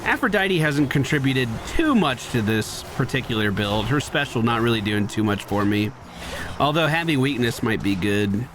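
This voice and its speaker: A man's voice: mid-range voice, expressive, moderate pace, noisy background. A man giving casual gameplay commentary as host of a gaming video. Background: video game sounds.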